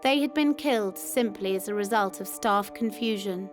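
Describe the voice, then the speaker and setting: A woman's voice: medium pitch, normal speed. A woman reading a scripted first-person wartime memoir as a narrator. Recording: music behind the voice. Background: music.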